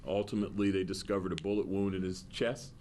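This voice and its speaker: A man's voice: low-pitched, little pitch movement, fast talker, dark tone. A man speaking as a police spokesman in an interview, blunt and emphatic. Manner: blunt, emphatic, repetitive phrasing. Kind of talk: press interview statement. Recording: faint background noise.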